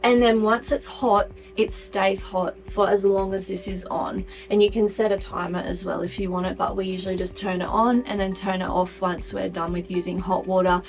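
A young Australian woman talks through her caravan in an easy, upbeat vlog manner, her voice sitting at an ordinary middle pitch. She fills her sentences with ums and likes. Soft music plays faintly underneath. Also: fairly even intonation, moderate pace, hardly any pauses. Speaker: vlogger showing a caravan. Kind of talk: casual walk-through chat.

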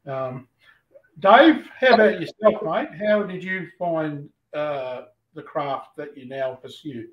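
A man's voice: on the high side, lively intonation, slow, lo-fi sound. A middle-aged Australian man hosting a casual panel chat over a video call from a small workshop. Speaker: podcast host. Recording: roomy, noisy workshop video-call audio.

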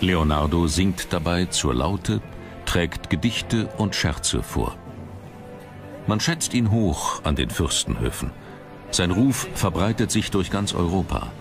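A man's voice: sits low, lively intonation, slow pace, gravelly. A man reading scripted narration for a documentary as its narrator. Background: background music.

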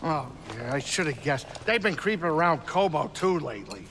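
Animated, high-voiced gruff little alien saloon owner. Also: male voice, moderate pace. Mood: hearty, fussing. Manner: animated, fussing, excitable, blustery. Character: small four-armed hairy alien saloon owner, gruff but warm. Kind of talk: video game cutscene acting. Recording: long hall reverb, faint background noise.

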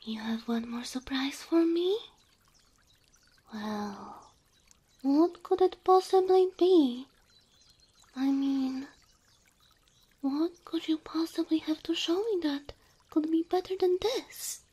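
A gentle cow girl girlfriend played by a woman speaks in a high, mellow voice for an ASMR roleplay. She is breathy, often dropping into a whisper. Her delivery is unhurried, affectionate and easily filled with wonder. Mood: amazed, delighted. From Slavic country. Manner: tender, slow, full of wonder.

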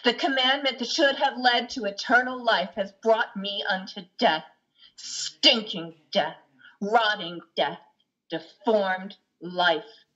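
A woman plays a condemned, bitter soul reciting scripture in a stage drama. The delivery is slow, emphatic and anguished, in dull video-call sound. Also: mid-range voice, pushed and bright, pitched above the performer's own voice. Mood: bitter, solemn.

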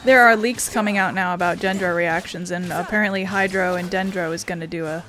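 A woman's voice: on the low side, fairly even intonation, fairly quick, fairly bright tone. A woman doing casual playthrough commentary on a video game as a content creator. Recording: faint game music behind.

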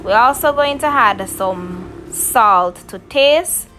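A woman's voice: fairly high pitch, animated, takes it slowly, music in the background. A woman hosting a cooking tutorial, talking viewers through each step and often checking in with "okay, guys" and "right?". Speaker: cooking show host.

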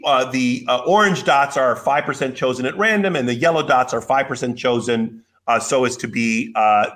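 An older man giving a keynote lecture over slides from a home office on a video call, measured and explanatory, with some fillers and restarts. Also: mid-range voice, a bit bright. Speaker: keynote speaker. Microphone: video call webcam.

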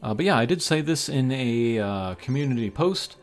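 A man's voice: medium pitch, expressive, moderate pace. A man hosting a gaming channel, giving casual, hesitant commentary as he thinks aloud over mobile game pulls. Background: game music.

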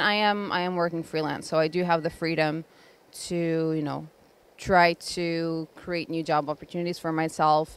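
A woman's voice: fairly low pitch, clear, resonant tone. A woman of thirty, talking candidly in a street interview into a handheld mic, laughing now and then. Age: 30s. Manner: candid, laughing, restarts often. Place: city street outdoors.

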